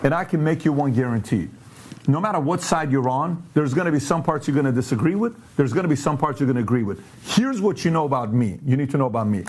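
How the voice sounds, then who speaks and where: A man born and raised in Iran hosts a commentary show, posing pointed questions and walking through charts in an emphatic, animated way. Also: a little high, fast talker, slightly rough. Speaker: show host.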